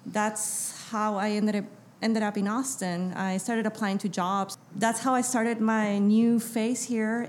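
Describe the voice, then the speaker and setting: A woman's voice: mid-range voice, a little mellow, a little hiss or hum. A middle-aged woman from Peru, a guest on a casual podcast interview, telling her story in an upbeat, matter-of-fact way. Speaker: guest, business co-founder.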